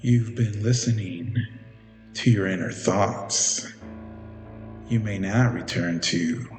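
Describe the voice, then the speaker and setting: A man hosting a horror story series, narrating a script in a theatrical, ominous, deliberate manner as a sinister keeper of shadows. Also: fairly low pitch, darkish tone, soft background music. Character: sinister keeper of shadows addressing his followers.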